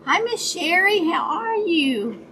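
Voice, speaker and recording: A woman with a raspy voice, chatting casually with her viewers during a crafting livestream and greeting them by name. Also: extremely high pitch, sing-song, takes it slowly, sharp tone, a little hiss or hum. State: raspy voice, dry throat. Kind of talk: casual chat while crafting.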